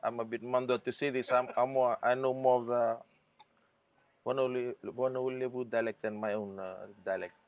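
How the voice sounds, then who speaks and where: A man from Fiji with a Fijian English accent, a band member answering interview questions in a reflective, halting way. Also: mid-range voice, level tone, dry recording, lo-fi sound.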